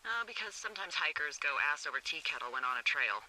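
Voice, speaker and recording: A woman's voice: low voice, big pitch swings, fast talker, sharp tone, heavily acted, rough recording. A woman playing a wry, teasing American fire lookout in a naturalistic video game, chatty and sardonic, heard over a two-way radio. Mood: sardonic, explanatory.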